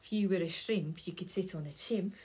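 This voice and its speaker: A woman's voice: lowish voice, brisk, a little mellow, slightly rough. A woman voicing a chatty, teasing male dog in a read-aloud picture book.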